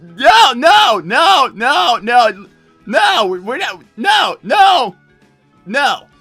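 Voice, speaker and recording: A man's voice: extremely high pitch, big pitch swings, drawn-out words, sharp tone, slightly rough, no echo, raised voice, soft background music, heavily acted. A man voicing an anxious, easily flustered young cartoon character who stammers and repeats protests in improvised livestream banter. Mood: flustered, exasperated.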